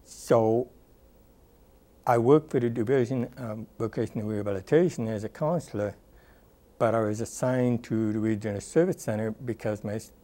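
A man in his sixties, a former rehabilitation counselor, recalling his career in a thoughtful, unhurried oral history interview, with frequent ums and uhs, recorded on a lapel mic in a dark studio. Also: fairly low pitch.

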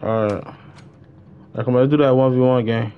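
A man's voice: medium pitch, fairly even intonation, fairly quick, clean tone. A young man chatting casually on a gaming livestream, laid-back and slangy, repeating his phrases. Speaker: live-streamer. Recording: dull, slightly noisy mic.

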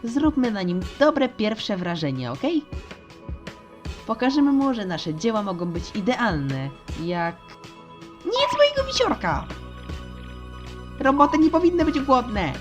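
Woman acting an earnest, high-pitched child creator. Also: big pitch swings, a little slow. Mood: eager, instructing. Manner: earnest, hesitant, pleading. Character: earnest, naive young child creator, eager to please. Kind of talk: animated fan dub acting. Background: music.

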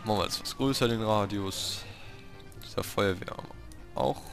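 Man, lowish pitch, bright tone, casual let's play commentary, halting remarks to himself. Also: vocal fry, faint music behind. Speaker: let's player hosting a city-building game series.

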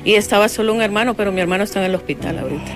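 A woman's voice: medium pitch, very fast, music in the background. A middle-aged woman with a Honduran accent speaking spontaneously in an outdoor interview, into a handheld microphone. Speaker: interviewee, relative of victims. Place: outdoor patio.

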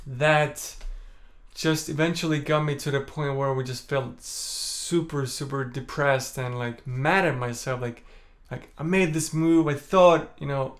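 A young man from Estonia, a native Estonian speaker, calmly telling a personal story to the camera in a small indoor room, full of "like" and "you know". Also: medium pitch. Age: young adult. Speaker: vlogger. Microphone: camera in front at chest framing.

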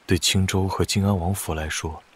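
An actor playing a calm, calculating blind male strategist in a wuxia audio drama, speaking in a measured, deliberate way. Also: low voice, husky, no room sound. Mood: measured, analytical.

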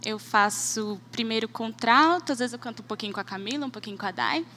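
A woman's voice: on the high side, fast talker, pushed and bright. A Brazilian woman in her twenties from Rio de Janeiro state, answering casually into a handheld microphone on stage. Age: 20s. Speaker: vocal group singer. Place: large auditorium stage.

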